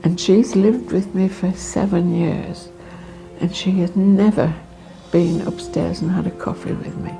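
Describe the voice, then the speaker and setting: A woman's voice: fairly low pitch, steady tone, unhurried, creaky, warm tone, faint music behind, poor audio. An older woman giving a personal account in an interview.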